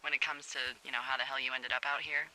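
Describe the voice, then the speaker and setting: A very bright, forward voice with faint noise behind it: a flippant American woman working as a fire lookout. She talks over a two-way radio in a chatty, sardonic way, with the natural delivery of a story-driven video game. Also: a bass voice, level tone, fast, heavily acted, rough recording. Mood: teasing, casual.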